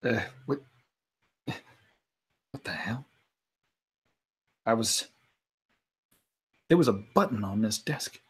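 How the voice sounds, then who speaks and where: A man playing a tabletop role-playing game, improvising dialogue and narrating his character's moves in a dramatic, husky voice. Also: lowish voice, extremely slow, long pauses. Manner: animated, dramatic delivery.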